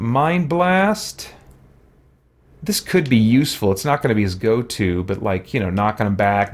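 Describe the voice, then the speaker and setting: A man hosts a gaming playthrough in a medium-pitched voice. He talks casually, thinking aloud and muttering to himself. He moves between reading on-screen text and offering his own offhand musings. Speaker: let's play host.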